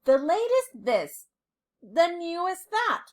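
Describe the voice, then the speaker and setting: A woman reading a children's storybook aloud like a teacher, expressively and deliberately, with dramatic pauses. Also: very high-pitched, big pitch swings.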